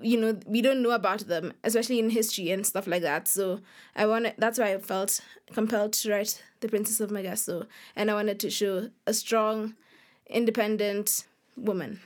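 A woman's voice: mid-range voice, fairly even intonation, normal speed. A young woman answering interview questions as an author, in a casual, youthful way with lots of "like". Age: young adult. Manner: youthful, informal, frequent "like".

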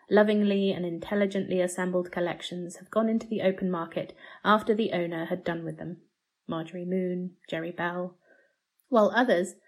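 A woman narrates a written essay in a formal, measured way. Her voice sits at an average pitch for a woman and has a slightly husky grain. The delivery is that of a prepared reading. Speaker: article narrator.

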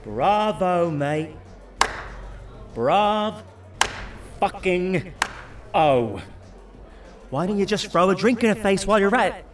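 A man's voice: high voice, expressive, takes it slowly, long pauses, recorded from a distance, background noise. An actor playing the mouthy, berating, panicky inner voice of an awkward British man in an audio drama. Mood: scolding. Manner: sweary, berating.

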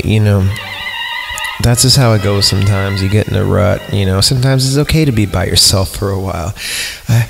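Low, gravelly man reading horror irreverently. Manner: irreverent, mock-disgusted, often breaks off to comment. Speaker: podcast host reading a story aloud. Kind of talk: comedic narration of a horror story with casual asides. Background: background music.

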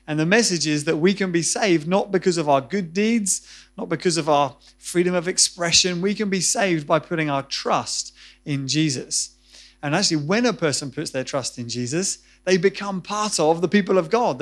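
A man preaching a sermon to his church, earnest and explanatory, then introducing guests for an interview. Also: a little high.

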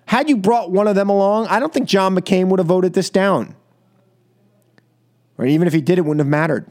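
A man's voice: high voice, a little mellow. A man with an American accent hosting political talk radio, speaking emphatically and scoldingly in short, repeated phrases. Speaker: radio talk show host. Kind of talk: political opinion monologue on call-in radio.

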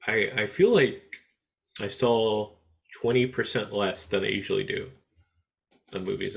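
Gravelly, low-voiced male podcast co-host. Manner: laid-back, halting, with many "um"s. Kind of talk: casual podcast chat.

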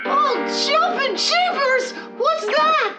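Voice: very high-pitched, expressive, slow, heavily acted. A performer playing an eager, wide-eyed little boy sidekick in a 1940s radio war serial. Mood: startled. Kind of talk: 1940s old-time radio serial acting. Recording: distant, echoey, muffled radio recording with music.

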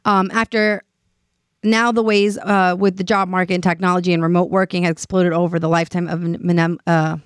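A woman's voice: fairly low pitch, level tone, a little fast, smooth, no room sound, studio quality. A woman in her late twenties co-hosting a casual podcast chat, earnest and often stopping to find her words. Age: 20s. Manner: earnest, searching for words, many restarts. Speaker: podcast co-host.

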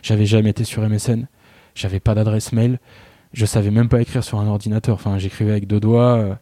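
A man's voice: on the low side, flat delivery, fast, dark tone, no room sound, studio quality. A man in his thirties, chatting casually and telling a self-mocking story. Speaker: guest. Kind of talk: casual podcast conversation.

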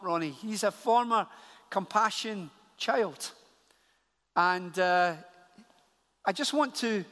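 A man's voice: high-pitched, fairly bright tone. A man from Glasgow, a church leader making an earnest appeal to the congregation during a service. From Glasgow, Scotland. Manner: earnest, repeats phrases for emphasis. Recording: church hall reverb.

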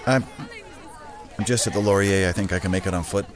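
A man's voice: deep voice, a little fast, natural voice. An actor playing a wry, lonely man in an audio drama, hesitant and dryly self-deprecating. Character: wry, lonely man shyly angling for company after work. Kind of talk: naturalistic audio drama dialogue. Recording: outdoor city street, faint crowd, traffic.

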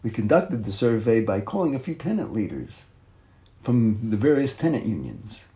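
An older man reading a written first-person memoir aloud in a measured, deliberate way, as scripted narration. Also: lowish voice, very animated, mellow. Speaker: author and activist reading his own reflective essay.

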